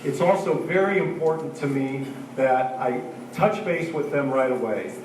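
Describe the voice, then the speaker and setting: A man's voice: mid-range voice, normal speed, darkish tone, slight background noise. An older man, a retired choir director and council member, giving an earnest, orderly candidate speech. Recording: echoey hall from far off.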